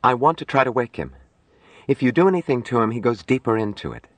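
Male narrator as young nurse, female, raised pitch, pleading, halting, timid but insistent, audiobook. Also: animated, no room sound, natural voice. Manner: pleading, halting, shrill when upset.

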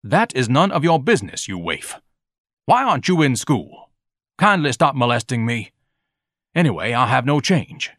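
A man's voice: mid-range voice, very animated, normal speed, a bit bright, dry recording, studio quality, voice pushed up. A man voicing a pompous, easily outraged hot dog vendor with haughty, ornate diction, in an audiobook story. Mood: haughty, dismissive.

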